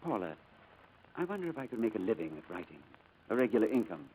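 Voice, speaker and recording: An actor playing a gentle, fragile man in a 1940s radio drama, diffident and hesitant, often halting in his speech. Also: fairly low pitch, sing-song, narrow, tinny audio. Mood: earnest, tender. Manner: hesitant, halting, soft-spoken. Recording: old radio broadcast with faint noise.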